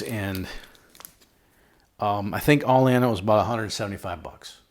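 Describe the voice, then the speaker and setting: A middle-aged American man with a lowish voice presents a product review. He talks in a casual, folksy manner, dropping jokey slang and slipping into storytelling as he explains things. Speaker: host of a product review video.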